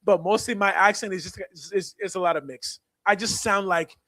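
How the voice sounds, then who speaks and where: Animated Nigerian-accented man, high voice. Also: normal speed, no room sound. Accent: mixed Nigerian and American. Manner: animated, playful, stumbles over words. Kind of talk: casual sports talk.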